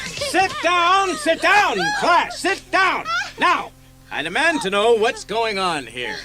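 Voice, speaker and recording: An actor playing a stern, blustering male school principal in a children's audiobook, demanding and halting. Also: very high-pitched, big pitch swings, music in the background, exaggerated voice. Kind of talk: dramatised children's audiobook acting.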